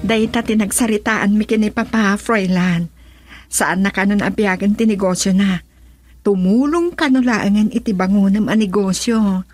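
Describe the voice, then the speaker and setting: An actress playing an outspoken, emotional wife and daughter in a radio drama, husky and insistent. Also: female voice, medium pitch, normal speed, a little mellow, lightly acted. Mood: urging. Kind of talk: Ilocano radio drama acting.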